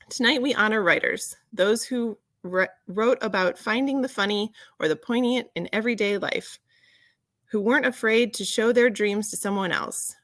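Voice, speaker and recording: Woman host reading ceremony script, gravelly fry, really dry room sound. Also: medium pitch, a bit bright, no echo. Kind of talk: scripted event remarks.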